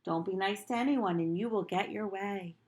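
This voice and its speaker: A woman's voice: medium pitch, normal speed, darkish tone, close to an everyday voice. A woman voicing small, nasty, grumpy monsters from a storybook in a bossy, sing-song chant. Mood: gleefully grumpy.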